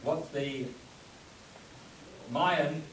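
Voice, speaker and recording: A man's voice: on the high side, drawn-out words. A man playing a composed, commanding adventure hero in a live spoof radio play. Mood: calm, commanding. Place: echoey convention room with audience. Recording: echoey hall, distant mic, audience noise.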